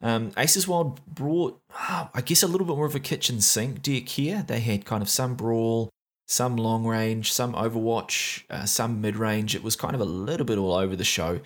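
A man's voice: mid-range voice, normal speed. A man with a New Zealand accent giving a casual recap of a competitive game match, with frequent fillers and restarts. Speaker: esports match recap caster.

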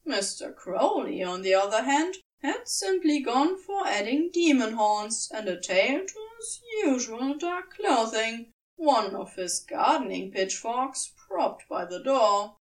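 A woman reading scripted fiction as an audiobook narrator, with a measured, storybook-like delivery. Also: fairly high pitch, big pitch swings, recorded from a distance, poor audio. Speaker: fan fiction audiobook reader.

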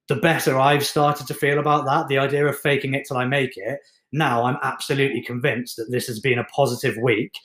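A man's voice: mid-range voice, fairly bright tone. Earnest man in an echoey room. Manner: earnest, reflective, encouraging. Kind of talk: motivational talk on mindset.

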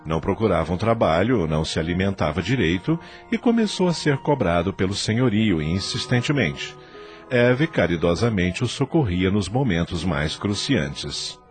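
A man with a Brazilian accent giving dramatic scripted narration for a radio drama. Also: sits low.

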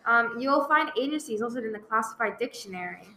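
Woman doing formal phone operator lady for a radio play, bright highish voice, polite but no help. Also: lively intonation, a little fast. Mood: formal, detached. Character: unhelpful, formal female telephone switchboard operator. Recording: roomy, noisy live recording.

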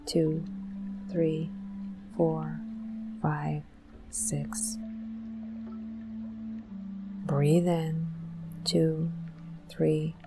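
A woman leading a guided meditation in a gentle, soothing, deliberate manner with long pauses. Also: sits low, flat delivery, gravelly, over music, rough recording.

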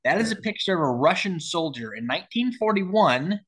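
A man's voice: high-pitched, sing-song, moderate pace, a bit bright, husky. A man in his late twenties explaining story ideas in casual talk, peppered with "like" and "you know". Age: late 20s. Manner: explanatory, frequent filler "like". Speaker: writer collaborating on a story. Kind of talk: casual creative discussion.

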